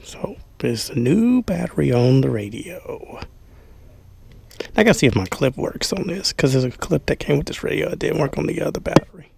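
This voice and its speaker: A man's voice: on the high side, animated, normal speed, vocal fry, darkish tone, a little hiss or hum. A man giving a casual hands-on product demo indoors, talking informally and folksily, as if half to himself while handling things. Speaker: vlogger showing an unboxing. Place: small carpeted room indoors.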